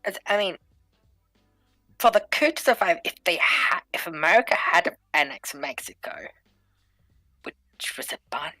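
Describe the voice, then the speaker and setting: Woman, low pitch, dry sound, casual panelist, faint music behind. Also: animated, unhurried, long pauses, sharp tone, no echo, low-quality recording. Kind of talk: casual discussion.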